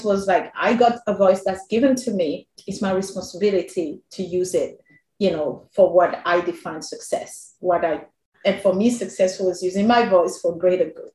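A woman with a non-native English accent, a working mother telling her own story as a podcast guest, animated and quick to laugh. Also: lowish voice, reverberant, low-quality recording. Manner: animated, laughs often, quotes herself. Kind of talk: candid personal storytelling.

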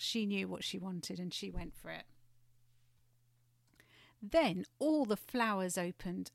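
A woman narrating a storybook expressively and gently, with chatty asides. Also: medium pitch, vocal fry, no echo, clean studio sound. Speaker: guest storyteller.

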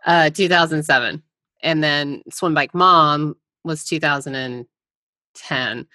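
A woman hosting an interview, chiming in with quick, chatty questions and her own stories. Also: deep voice, bright, forceful tone, no room sound, clean studio sound. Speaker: podcast host. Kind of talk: conversational interview.

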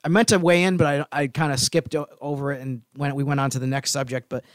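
A man co-hosting a sports podcast, chatting casually in a measured, explanatory way with some hesitation. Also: mid-range voice, little pitch movement, no room sound.

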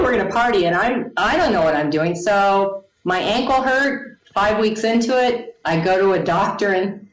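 A woman in her forties telling her story to an interviewer, animated, laughing often and acting out the people she quotes. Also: medium pitch. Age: 40s. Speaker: guest. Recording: echoey room.

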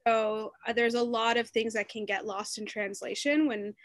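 Candid woman guest, bright higher voice. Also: flat delivery, normal speed, no echo. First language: Arabic. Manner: candid, laughing, conversational. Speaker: musician guest. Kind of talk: podcast interview.

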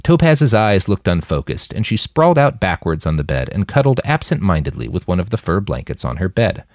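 Highly expressive, lower-pitched male narrator. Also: fairly quick, dry recording, studio quality. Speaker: author and narrator of a fiction podcast. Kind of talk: scripted audiobook narration.

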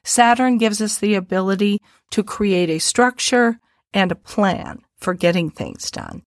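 A woman with a slightly twangy Midwestern American accent, an astrologer co-hosting a podcast and explaining things in a relaxed chatty way. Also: medium pitch, unhurried, dry recording, clean studio sound. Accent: Midwestern American, a little twangy. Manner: explanatory, relaxed, uses homely images. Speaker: astrologer co-host.

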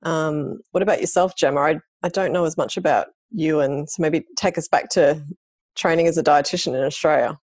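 Woman host with a low voice, lots of mm-hmms, dry sound. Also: brisk, no room sound. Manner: warm short backchannels, easy questions. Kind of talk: podcast interview.

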